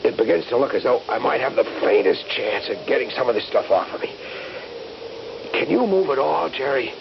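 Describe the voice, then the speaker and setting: An actor playing an anxious but practical soldier, gravelly and concerned, in a 1970s radio drama. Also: male voice, medium pitch, expressive, brisk. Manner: urgent, concerned, swinging delivery. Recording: noisy, dull 1970s radio drama recording.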